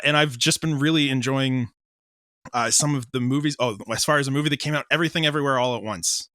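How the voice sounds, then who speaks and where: A man's voice: medium pitch, sharp tone, dry recording, studio quality. A man talking casually in an interview as an audio drama creator, rambling and informal.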